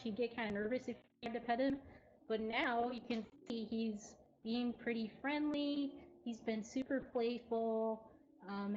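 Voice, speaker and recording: A woman's voice: fairly high pitch, darkish tone, slight background noise, rough recording. A woman presenting casually on a livestream in an informal, chatty way. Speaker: cat cafe presenter. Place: indoor cat cafe room.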